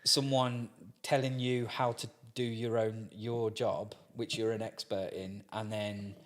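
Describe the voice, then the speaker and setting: A man's voice: lowish voice, level tone, measured pace. A man with a Birmingham accent chatting frankly as a podcast co-host, laughing often as he talks. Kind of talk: casual chat.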